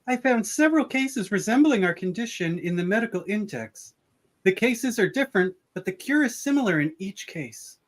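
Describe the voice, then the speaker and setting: A performer playing a composed, careful starship doctor in a fan radio play, measured and explanatory. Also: medium pitch, lively intonation, natural voice. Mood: calm, hopeful.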